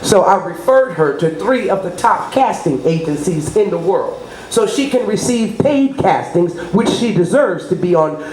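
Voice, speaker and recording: A woman's voice: fairly low pitch, expressive, normal speed, a little mellow. A middle-aged woman from New Orleans, a business consultant talking up her services as an interview guest, rambling from point to point with name-drops and asides about God. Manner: rambling, salesy, name-dropping, religious asides. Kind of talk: promotional interview talk. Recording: echoey room, faint noise.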